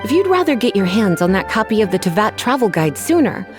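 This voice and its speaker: A woman's voice: mid-range voice, big pitch swings, music in the background, close to an everyday voice. A woman playing a languid, teasing librarian in a video game, coy and polite with menace underneath. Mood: weary, ominous. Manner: coy, sighing, drawn-out politeness. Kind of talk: English video game voice acting.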